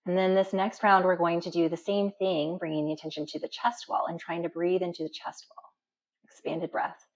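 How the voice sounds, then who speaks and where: A woman with a lowish voice leads a guided relaxation as a yoga instructor. She gives calm, step-by-step instructions and leaves space between phrases. The recording sounds dull and slightly muffled. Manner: calm instructional, with slow pauses and audible breath releases.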